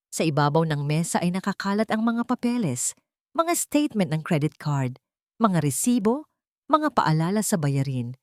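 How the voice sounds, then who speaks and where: A woman's voice: on the low side, a little mellow, dry recording, studio quality. A woman reading a scripted story as a dramatic narrator.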